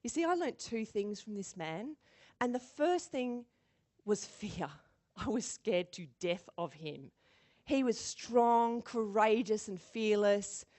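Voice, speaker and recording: Animated female keynote speaker. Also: medium pitch, takes it slowly. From born in Singapore. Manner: animated storyteller, acts out quotes, laughs often. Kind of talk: motivational keynote speech.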